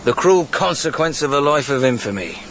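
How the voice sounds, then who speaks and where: A ghostly highwayman villain from a radio play, bitter and merciless. He talks in grand, archaic threats at a middling pitch, with faint ambient noise behind him. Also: expressive, a little fast, fairly bright tone. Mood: grimly resigned. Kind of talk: radio drama acting.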